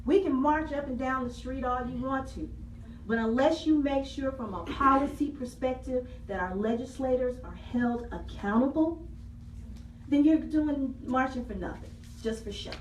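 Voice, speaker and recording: Woman council member, slightly high voice, earnest public appeal, heard from across a reverberant meeting room. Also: moderate pace, a little hiss or hum. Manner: earnest, repeats key phrases for emphasis. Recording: echoey hall, voice from far off.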